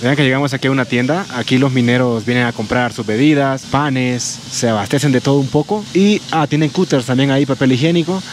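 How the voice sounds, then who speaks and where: A man's voice: mid-range voice, fairly quick, hardly any pauses, slight background noise. A man with a Honduran accent narrating his trip to camera outdoors in a mountain mining town, explaining what viewers see while short of breath from the altitude. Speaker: travel vlogger host. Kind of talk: casual travel narration to camera.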